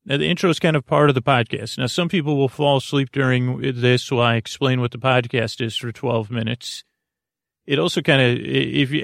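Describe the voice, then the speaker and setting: Creaky-voiced man rambling softly to soothe. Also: medium pitch, no echo, studio quality. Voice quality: creaky, gravelly. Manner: meandering, halting, soothing, often breaks off mid-thought. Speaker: podcast host. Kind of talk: rambling bedtime podcast monologue.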